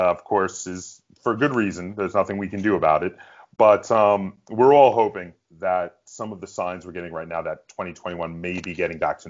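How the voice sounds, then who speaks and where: A man hosting an interview in a conversational, polite and measured way. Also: low voice, dull audio. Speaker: host of a gaming interview show.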